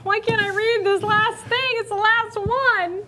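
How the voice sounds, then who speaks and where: A woman's voice: very high-pitched, fairly even intonation, a little slow, background noise. A young American woman co-hosting a tech show in a casual, playful, informal way. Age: young adult. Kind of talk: casual studio presenting and banter. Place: indoor TV studio at a desk. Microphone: lapel mic.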